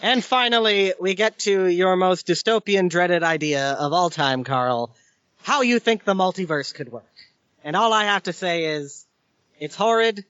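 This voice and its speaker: A man's voice: sits high, expressive, measured pace, fairly bright tone, clean tone, dry recording. A man co-hosting a podcast in casual chat, dry and teasing, bluntly correcting facts. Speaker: podcast co-host. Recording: dry dull lo-fi podcast audio.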